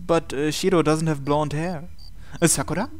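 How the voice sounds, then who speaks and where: A man's voice: on the high side, fairly even intonation, normal speed, a little hiss or hum. A man hosting a game playthrough, reading visual novel narration aloud expressively with casual asides.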